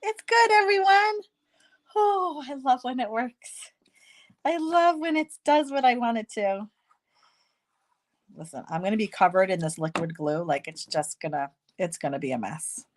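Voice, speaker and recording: A woman hosting a casual card-making tutorial, chatty and self-deprecating, slightly hoarse with a stuffy nose. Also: fairly high pitch, animated, measured pace, pauses often.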